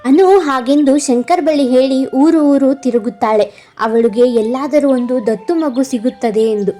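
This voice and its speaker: A woman narrating an animated moral story in a slow, even storytelling manner. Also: high-pitched, faint music behind.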